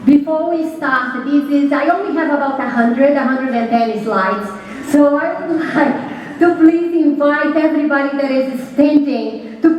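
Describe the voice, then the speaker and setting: High-voiced Brazilian woman giving a keynote. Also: lo-fi sound. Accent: Brazilian Portuguese. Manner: friendly, joking asides, frequent fillers. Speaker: keynote speaker. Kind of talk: conference keynote presentation. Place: large conference hall. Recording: roomy hall, distant from mic.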